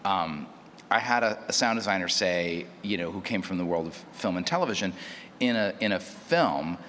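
A man's voice: low voice, expressive, normal speed, fairly bright tone. A man speaking as an audio drama producer in a thoughtful panel talk, searching for words and repeating phrases as he explains. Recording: echoey hall with clear background noise.

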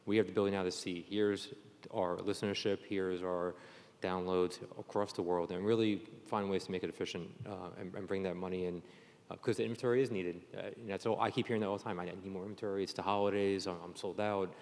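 A man's voice: low-pitched, flat delivery, fast talker, darkish tone. A man moderating a panel discussion at a conference. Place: large indoor conference venue. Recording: echoey conference hall with long reverb.

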